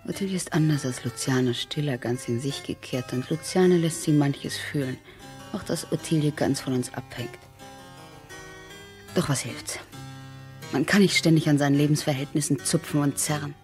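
An actress playing a thoughtful, wary aristocratic wife in a radio drama, with measured, intimate, softly breathy delivery. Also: female voice, low voice, soft background music, close to an everyday voice. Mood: pensive, guarded. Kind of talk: 1970s German radio drama acting.

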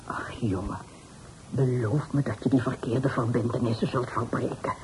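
A woman's voice: very deep voice, normal speed. An actress playing a worried, reproachful mother who sighs and pleads formally in a period radio drama. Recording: noisy old radio play recording.

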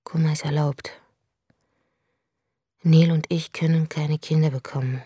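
A woman's voice: low-pitched, steady tone, measured pace, warm tone, slightly rough, dry recording, clean studio sound. An actress playing a grieving, childless woman who tells her story in a wry, bitter radio drama monologue.

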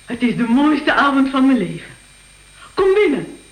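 Theatrical elderly grandmother, very animated. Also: high voice, normal speed. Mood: joyful, lively. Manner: theatrical, affectionate. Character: warm-hearted, joyful elderly grandmother. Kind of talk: radio drama acting. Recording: roomy, echoing radio play studio.

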